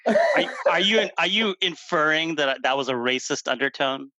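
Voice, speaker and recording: A man's voice: fairly high pitch, animated, moderate pace, pushed and bright. A man co-hosting a casual podcast, asking questions and cracking jokes. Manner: joking, teasing interjections. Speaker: podcast co-host. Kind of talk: casual chat, interviewer.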